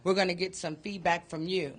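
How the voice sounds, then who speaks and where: A woman who directs a community program, introducing herself at a conference with a loud, projecting voice. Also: fairly low pitch, fairly even intonation, a little fast, husky. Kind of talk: conference presentation. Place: conference room.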